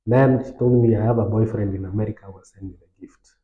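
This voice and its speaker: A man's voice: lowish voice, steady tone, quick pace, roomy sound, narrow, tinny audio. A man on a podcast telling a personal story in a casual, anecdotal way, now and then dropping in Swahili phrases. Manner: anecdotal, light laughs, mixes in Swahili phrases. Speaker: podcast host.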